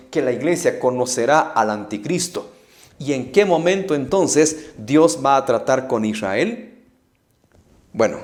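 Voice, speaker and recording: Slow, lively Guatemalan preacher man. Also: mid-range voice. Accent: Guatemalan Spanish. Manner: emphatic, challenging, with rhetorical questions and repetition. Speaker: evangelist preacher. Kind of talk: preaching a Bible study to camera.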